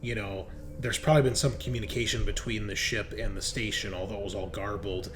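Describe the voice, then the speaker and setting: A man's voice: on the low side, steady tone, fairly quick, background noise. A man working as game master, narrating a tabletop roleplaying game in a casual, improvised, explanatory way.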